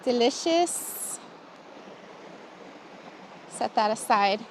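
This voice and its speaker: Giggly American woman, slightly high voice. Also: lively intonation, drawn-out words, a little hiss or hum, low-quality recording. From USA. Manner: chatty and giggly, talking to camera while cooking. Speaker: vlogger. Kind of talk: casual outdoor vlog chat. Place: outdoors by a campfire in the woods.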